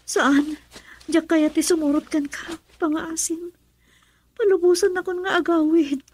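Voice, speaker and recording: A frightened, defiant woman in a radio drama. Her voice is extremely high and pleading, over faint background noise. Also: steady tone, measured pace, darkish tone.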